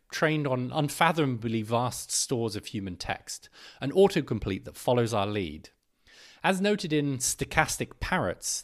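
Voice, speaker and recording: A man giving a scripted narration, reading an article aloud. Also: medium pitch.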